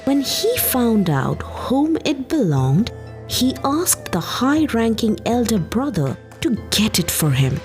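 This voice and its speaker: A woman narrating a folktale for a podcast, with expressive, dramatic and deliberate phrasing. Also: fairly high pitch. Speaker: podcast storyteller. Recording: music behind the voice. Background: background music.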